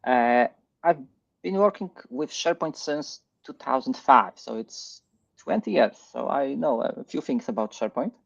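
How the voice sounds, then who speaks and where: Middle aged polish guy doing a tech talk online, creaky voice, kinda muffled audio, stops and starts. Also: mid-range voice, expressive, normal speed. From Poland. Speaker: guest presenter. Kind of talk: live tech presentation. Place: online livestream session.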